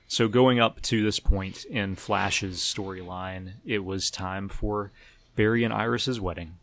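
A man's voice: low-pitched, a bit bright. A man hosting a podcast, chatting casually and conversationally as he recaps TV episodes. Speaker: podcast host. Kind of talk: casual chat about TV episodes.

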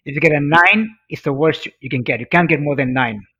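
A middle-aged man from Tanzania whose first language is Swahili speaks as an interview guest. His voice sits a little high, and he tells his story earnestly, leaning on repeated phrases for emphasis. The sound is slightly muffled and lo-fi. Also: a little fast, pushed and bright, slightly rough. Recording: dull, lo-fi sound.

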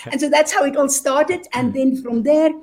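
A woman's voice: high voice, moderate pace, darkish tone. A woman with a South African accent, an evangelist sharing her story earnestly in an interview. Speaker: evangelist guest. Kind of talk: interview testimony.

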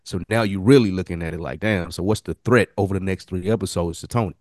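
A man with an American accent and a very low voice gives casual TV commentary. He sounds laid-back and slangy, and the recording is dry, with little room sound. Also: fast talker, darkish tone, no room sound. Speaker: podcast co-host.

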